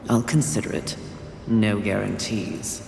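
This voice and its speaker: A woman's voice: very deep voice, fairly even intonation, unhurried, creaky, background noise, exaggerated voice. A woman playing a proud, defiant and impatient warrior in a video game cutscene, speaking curtly and haughtily. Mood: impatient, guarded. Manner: forceful, curt, haughty. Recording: echoey reverberant space, music behind.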